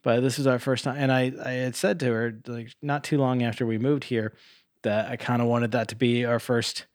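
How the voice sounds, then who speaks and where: A man's voice: medium pitch, flat delivery, normal speed, no room sound, clean studio sound. A man co-hosting a podcast in casual chat, rambling along and swearing casually. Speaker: podcast co-host.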